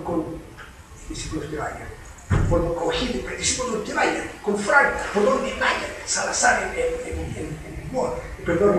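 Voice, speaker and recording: A man's voice: high voice, a little hiss or hum. A man, a veteran teacher giving an impassioned lecture and hammering his points home through repetition. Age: 70s. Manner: emphatic, repeats key words in insistent chains. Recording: echoey room picked up from far off.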